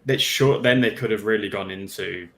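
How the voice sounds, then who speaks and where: A man's voice: fairly low pitch, normal speed, gravelly, a crowd in the background. A man giving his opinions casually as a panelist on a hobby livestream. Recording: echoey room on livestream.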